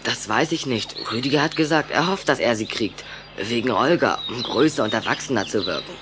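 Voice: medium pitch, lively intonation, brisk, background noise, lightly acted. A performer playing an earnest, suspicious schoolboy in a German children's audio drama, with a sober, questioning manner. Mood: matter-of-fact. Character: schoolboy, earnest, suspicious, worried friend of vampires.

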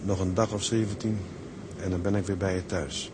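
A man's voice: low-pitched, fairly even intonation, a little fast, background noise, natural voice. An actor playing an affectionate young seaman husband in a radio drama, talking. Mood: reflective, apologetic. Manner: colloquial, affectionate. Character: Jan, warm male merchant seaman, loving young husband.

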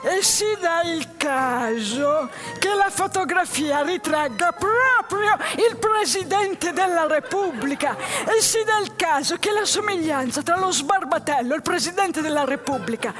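An actress playing a lonely, dreamy, jobless man in a halting theatrical monologue. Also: very high voice, animated. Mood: excited, indignant. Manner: slow, halting phrases with pauses. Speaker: stage actress. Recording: music behind the voice.

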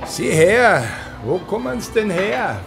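An actor with a Viennese accent playing a nosy, boisterous tavern regular in a folksy 1960s radio drama. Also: male voice, high voice, very animated, slow pace, vocal fry, exaggerated voice. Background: music.

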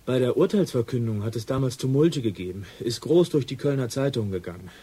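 An actor playing a dry, ironic police assistant who likes to needle, in a naturalistic radio drama. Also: male voice, medium pitch, expressive, fairly quick, dark tone, husky. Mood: dry, businesslike.

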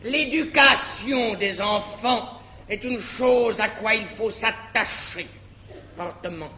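A man's voice: sits high, bright, forceful tone, full character voice. An actor playing a fussy, suspicious old bourgeois father in a classical French stage farce, declamatory, emphatic and self-satisfied. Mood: smug, lecturing. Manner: declamatory, deliberately drawn out, emphatic. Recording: echoey 1961 stage recording, faint noise.

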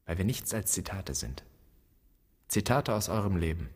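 A man's voice: sits low, lively intonation, moderate pace, darkish tone. An actor playing a bitter grown son who broods and declaims against his absent father in a literary radio drama. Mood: bitter, accusing. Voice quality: husky. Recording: long reverberant hall.

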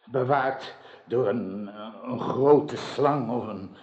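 An older actor playing a grieving, dignified old king in a radio drama, with a solemn, halting delivery. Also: mid-range voice. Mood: sorrowful, grave. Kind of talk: theatrical radio drama acting. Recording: dull, echoey radio play recording.

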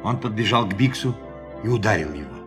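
A man's voice: lowish voice, expressive, a little fast, lightly acted. A man narrating a science fiction audio play as a storyteller, in scripted dramatic style. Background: music.

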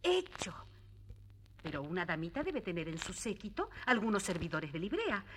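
A woman's voice: mid-range voice, very animated, normal speed, heavy background noise, lightly acted. An actress playing a kindly, bossy fairy godmother in a children's storybook drama, theatrical and instructing. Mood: brisk, busy. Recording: vintage story record with music behind.

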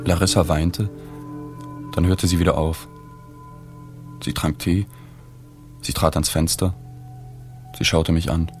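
Male journalist-narrator, radio drama: low-pitched, restrained, matter-of-fact, light music underneath. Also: level tone, slow pace, long pauses. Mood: sober, reflective. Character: reserved, observant male journalist recounting a sad story. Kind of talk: literary first-person narration, radio drama.

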